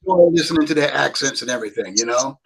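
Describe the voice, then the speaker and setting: A man's voice: medium pitch, rapid-fire, gravelly, slight background noise. A man with a Brooklyn accent, a guest in a casual chat who rambles with lots of "you know" fillers. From Brooklyn, New York.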